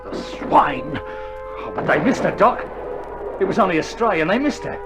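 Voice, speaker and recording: An actor playing a sick Australian soldier, an anxious but loyal mate with blunt, colloquial speech, in a dramatised wartime radio play. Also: male voice, high voice, very animated, normal speed. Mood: alarmed. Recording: old vinyl radio drama, prominent music behind.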